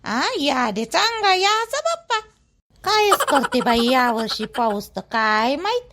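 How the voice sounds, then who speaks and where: A woman's voice: high voice, very animated, a little slow, fairly bright tone, a little hiss or hum, heavily acted. A woman voicing a chatty, nosy but helpful older village housewife in a cartoon, speaking colloquial Vidarbha Marathi full of exclamations. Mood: chatty, grumbling. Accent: Varhadi Marathi, Vidarbha. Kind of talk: rural family cartoon dialogue.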